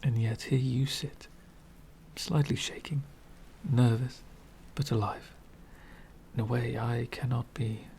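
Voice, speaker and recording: A man performs a centuries-old vampire gentleman, courteous and melancholy. He speaks in a soft, breathy half-whisper with unhurried pacing and a gravelly creak. It is an intimate ASMR roleplay with faint background noise. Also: mid-range voice, steady tone. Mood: gently probing. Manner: refined, wistful, slow and deliberate.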